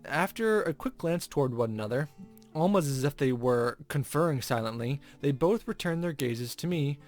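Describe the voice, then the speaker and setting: A man's voice: a little high, animated, moderate pace, faint music behind. A man hosting a gaming video, reading a visual novel aloud expressively with informal commentary.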